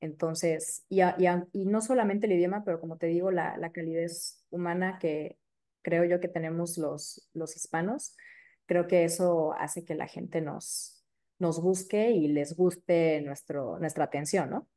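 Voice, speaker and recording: Low, mellow Mexico City woman. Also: fairly even intonation, unhurried. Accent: Mexico City Spanish. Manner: warm, chatty, many fillers like "este" and "eh". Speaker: periodontist guest. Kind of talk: casual interview chat.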